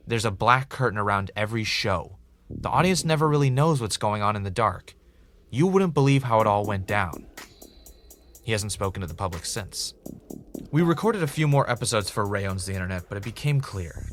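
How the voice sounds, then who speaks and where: A man's voice: on the low side, a bit bright. A man playing an earnest, doubtful podcast host in a scripted audio drama. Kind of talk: fictional podcast narration and conversation.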